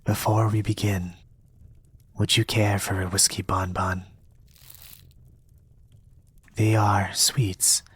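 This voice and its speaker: A young man reading a storybook in a soft-spoken, partly whispered ASMR style, with a gentle, measured delivery. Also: fairly low pitch, flat delivery, husky, no room sound. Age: young adult. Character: polite soft-spoken young male reader, quietly eerie. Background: soft music.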